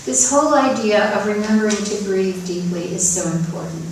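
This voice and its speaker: A woman's voice: mid-range voice, normal speed, slight background noise. A woman spiritual teacher sharing a message with a congregation, encouraging and calling people by name. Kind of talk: sermon talk. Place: church sanctuary. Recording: echoey hall, voice from far off, faint ambience.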